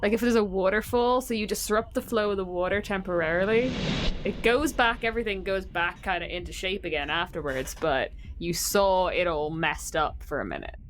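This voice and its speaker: A woman's voice: mid-range voice, normal speed, a little hiss or hum, poor audio. A woman playing a tabletop game with casual, playful, dryly funny banter.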